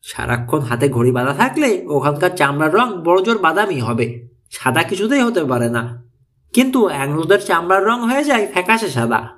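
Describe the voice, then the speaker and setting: Higher-pitched man narrating a mystery audiobook. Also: sing-song, normal speed, fairly bright tone.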